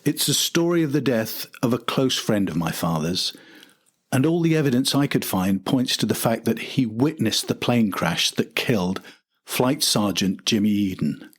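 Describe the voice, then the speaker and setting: Male podcast narrator, older, mid-pitched and a touch husky, delivering scripted documentary storytelling. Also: expressive, normal speed.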